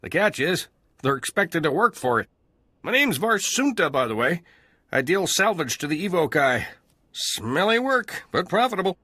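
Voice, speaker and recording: Breezy cynical junk trader from a video game, bright highish male voice, chatty and wry. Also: sing-song, moderate pace, dry recording, exaggerated voice. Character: breezy, cynical male human salvage dealer. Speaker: salvage trader character.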